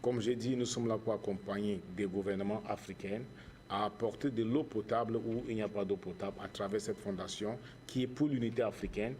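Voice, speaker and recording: A man with a Cameroonian accent giving earnest interview answers as a charity founder, in measured, preacher-like phrases with repetition. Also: on the low side, fairly even intonation, darkish tone, slight background noise.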